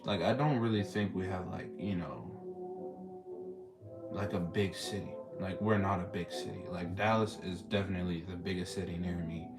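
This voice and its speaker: A young man with a Texan drawl talks in a loose, slangy way as he hosts a casual stream. His voice sits low. Loud music plays underneath the whole time. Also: normal speed. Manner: laid-back, slangy, reading and answering chat. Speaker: streamer host. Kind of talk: casual live chat with callers. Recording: loud music playing behind.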